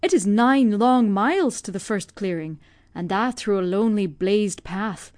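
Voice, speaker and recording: A woman's voice: mid-range voice, expressive, unhurried, darkish tone, no echo, natural voice. A woman reading aloud, voicing an old, tender-hearted Irish servant woman with an Irish accent. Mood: worried. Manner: lamenting, emotional.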